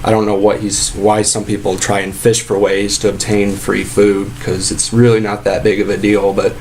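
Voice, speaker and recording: A man acting as an aggrieved fast-food worker with a fairly low voice, lodging a casual complaint. He rambles and stalls, repeating himself as he goes, over a noticeably noisy recording of a prank call. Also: flat delivery, darkish tone, close to an everyday voice. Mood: earnest, aggrieved. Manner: rambling, halting, repetitive. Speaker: prank caller posing as a sandwich shop employee.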